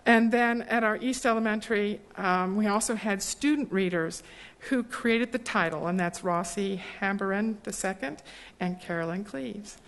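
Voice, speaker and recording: A woman giving formal thanks at a public meeting, speaking warmly with frequent ums and small restarts. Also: mid-range voice, moderate pace. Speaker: presenter thanking volunteers. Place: large meeting hall. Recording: long hall reverb.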